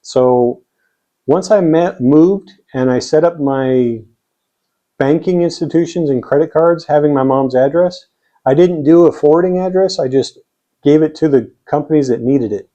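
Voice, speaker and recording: American man, mellow, slightly muffled recording. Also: fairly high pitch, moderate pace. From United States. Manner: plainspoken, step-by-step explaining. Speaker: vlogger. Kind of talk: casual explainer to camera or screen.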